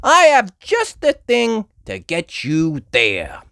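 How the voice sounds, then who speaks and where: A woman's voice: fairly low pitch, huge pitch swings, takes it slowly, gravelly, fairly bright tone, no echo, clean studio sound, pitched above the performer's own voice, heavily acted. A woman voicing a chirpy, brash female rabbit taxi driver and tour guide from New York in a storybook. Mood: brash cheer.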